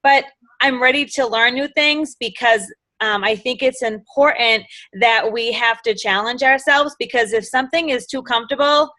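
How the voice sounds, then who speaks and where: A woman's voice: on the high side, normal speed, no echo. A middle-aged woman with a Boston accent gives an enthusiastic, rambling motivational talk as a guest speaker, often drifting off topic. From Massachusetts. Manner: enthusiastic, rambling, quotes others in lively voices.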